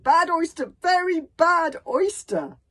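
A woman's voice: very high voice, very animated, slow pace, fairly bright tone, voice pushed up, heavily acted. A woman voicing an earnest, polite, eager male Viking bodyguard who is heroic and overly helpful. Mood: queasy.

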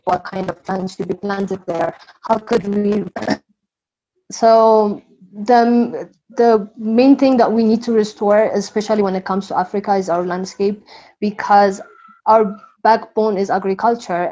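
A woman's voice: mid-range voice, a little mellow, low-quality recording. A young woman with an Ethiopian accent explaining her work as a guest speaker on a video call. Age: young adult. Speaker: guest speaker, architect and co-founder. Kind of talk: explanatory panel talk. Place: plain room indoors, video call. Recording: clear noise, clicks, video call.

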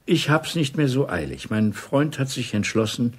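An actor playing a guarded, lonely ageing intelligence officer in a radio drama, with a husky voice. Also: male voice, medium pitch, animated, normal speed.

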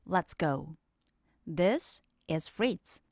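A woman reading a children's picture book aloud in an expressive, animated way. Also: lowish voice, very slow, dry recording, studio quality. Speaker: storybook reader. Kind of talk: children's read-aloud narration.

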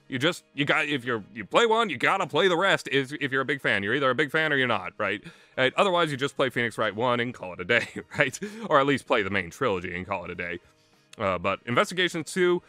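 A man's voice: mid-range voice, bright, forceful tone. A man giving casual, rambling, opinionated commentary as a video game reviewer, speaking conversationally and with emphasis. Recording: music playing under the voice. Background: background music.

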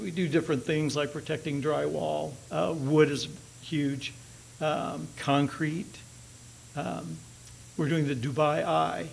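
A man's voice: a little high, gravelly, slight background noise, rough recording. An older man, a company executive, giving a conference presentation on stage at a podium microphone, with dry, chatty jokes. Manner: dry joking, loose and chatty. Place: large auditorium stage.

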